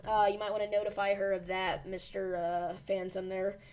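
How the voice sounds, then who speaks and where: A woman's voice: medium pitch, completely flat delivery, normal speed, background noise. A woman hosting a weather livestream who is losing her voice, giving urgent but reassuring storm commentary with many fillers. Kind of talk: live storm-tracking commentary.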